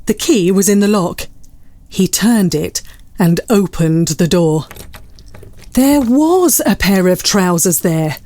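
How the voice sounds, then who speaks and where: A woman narrating a classic story in a measured, literary storytelling manner. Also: mid-range voice, animated, mellow, no echo.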